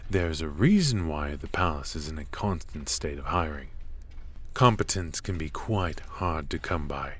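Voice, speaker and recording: A man's voice: rumbling low, slight background noise. A man playing a cold, stern royal magic professor. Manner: stern and clipped; dry, condescending remarks. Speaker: voice actor in an ASMR roleplay. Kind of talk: ASMR roleplay.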